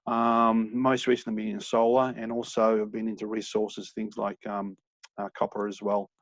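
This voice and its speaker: A man introducing himself as an entrepreneur in an online meeting, chatty and relaxed with fillers, heard over dull, lo-fi call audio. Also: lowish voice, normal speed, no room sound. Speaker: entrepreneur and former lecturer.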